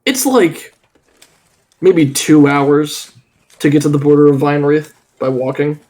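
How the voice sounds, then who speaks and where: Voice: mid-range voice, a little slow, darkish tone. A player running a tabletop role-playing game as game master, explaining travel times and rules casually.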